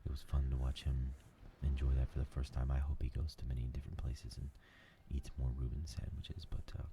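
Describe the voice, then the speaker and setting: A man hosting a new ASMR gaming channel, talking in a soft whisper and rambling with lots of fillers. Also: extremely low pitch, flat delivery, a little fast, vocal fry, background noise.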